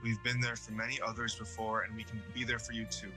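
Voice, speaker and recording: A man playing an anxious, devout young man in an audio drama, hesitant and earnest, with a sharp, husky voice. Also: lowish voice, flat delivery, quick pace, music in the background, close to an everyday voice. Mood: earnest reading.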